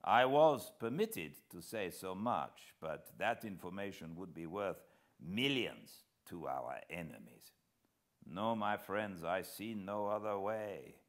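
A man's voice: deep voice, lively intonation, slow pace. A man voicing a grave, decisive French military man, formal and emphatic, in a storybook reading. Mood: grave, urgent. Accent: British.

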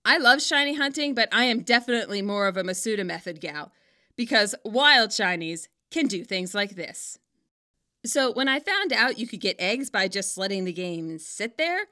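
A woman narrates scripted commentary and stories in a fairly high, bright voice. Her delivery is playful and wry, with lively rises and falls in pitch. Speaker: fan fiction author and video narrator.

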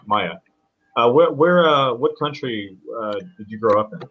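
A man asking questions on a video call, halting and repeating himself as he speaks. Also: mid-range voice, lively intonation, dull audio.

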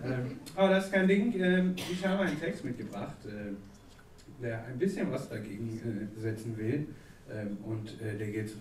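A man in his thirties, a native Turkish speaker, reading a comic poem with deadpan delivery on a theatre stage at a stand mic. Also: medium pitch, normal speed. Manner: deadpan satirical delivery, chatty asides with fillers. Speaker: poetry slam performer. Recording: echoey hall from a distance, audible noise. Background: audience laughter.

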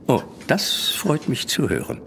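A theatrical antiques dealer in an audio drama. His mid-pitched voice swoops up and down as he flatters and persuades with effusive patter. A faint ambience sits behind him. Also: slow pace, slight background noise, full character voice. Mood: proud, persuasive. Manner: effusive salesman's patter with chuckles. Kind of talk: German horror audio drama acting.

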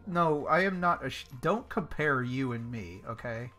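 A man's voice: medium pitch, lively intonation, normal speed, sharp tone, faint music behind. A man role-playing in an online game over voice chat, snarky and sarcastic. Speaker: player.

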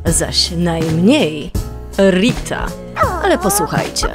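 A woman narrating a children's story in a deliberate, suspenseful way. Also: fairly low pitch, big pitch swings, over loud music.

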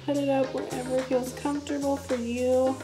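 A woman's voice: sits high, level tone, moderate pace. A young woman giving a casual, informal demonstration in a chatty way, recorded indoors in a living room. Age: young adult. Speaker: product presenter. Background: music in the background.

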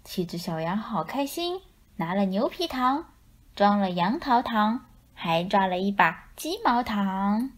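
一位女性用富有表现力的方式给孩子朗读绘本故事。. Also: female voice, mid-range voice, sing-song, measured pace. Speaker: storyteller. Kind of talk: expressive children's picture book narration.

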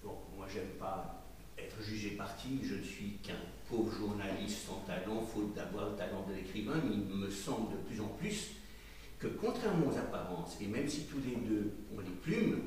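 A man speaking at a public literary debate in a deliberate, rhetorical, ironic manner. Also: on the low side, darkish tone. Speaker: audience member and journalist reading questions. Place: small dim event venue with audience. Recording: distant, very echoey room, faint noise.

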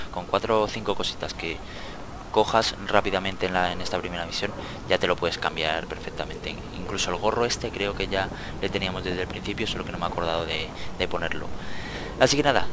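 A man's voice: low voice, noisy background. A man with an accent from Spain, giving casual gameplay commentary as a channel host.

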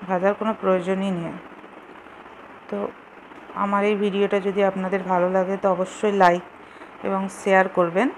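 Female home cook for a recipe video, medium-pitched, simple instructional delivery, casual, recorded with light kitchen noise. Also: low-quality recording. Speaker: home cooking show host. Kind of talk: casual step-by-step recipe narration.

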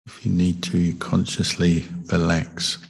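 A man with a very low, husky voice guides a meditation. His delivery is calm and very slow, with long pauses between short phrases. The intonation stays flat and gentle, like a patient teacher giving instructions. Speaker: Buddhist monk meditation teacher.

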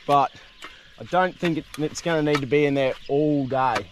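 A man's voice: a little high, normal speed, a little hiss or hum. An Australian man in his thirties, hosting a camping vlog outdoors by a creek, chatting casually with teasing banter and laughter. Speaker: vlog host.

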